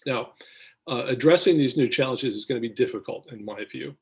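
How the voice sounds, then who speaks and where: An American man giving measured expert commentary as a foreign policy analyst in an interview, speaking in a halting, deliberate way with frequent ums and pauses. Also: mid-range voice, husky.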